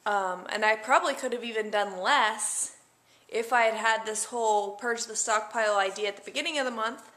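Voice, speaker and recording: A woman's voice: on the high side, moderate pace, fairly bright tone. A young adult woman chatting casually to camera in a diary-style vlog, rambling with lots of fillers. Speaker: vlogger.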